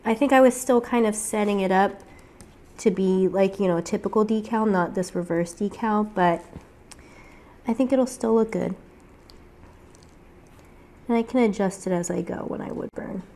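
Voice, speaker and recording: A woman's voice: medium pitch, normal speed, pauses often, dark tone. A woman with a weak voice from getting over a cold, chatting casually through a step-by-step crafting tutorial with frequent fillers.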